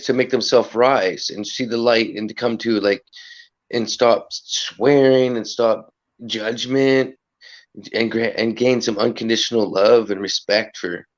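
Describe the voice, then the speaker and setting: A man with a raspy voice talks in a loose, rambling way, sliding into earnest advice about respect and inner energy. His voice sits at an ordinary middle pitch. The sound is dull and lo-fi. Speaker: livestream host. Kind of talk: casual livestream chat.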